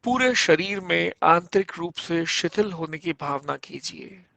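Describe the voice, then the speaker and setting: A man guiding a meditation as a yoga instructor, giving measured instructions in short phrases with pauses between them. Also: fairly high pitch, expressive, gravelly, sharp tone, no room sound. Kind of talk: guided yoga nidra meditation, slow spoken instructions.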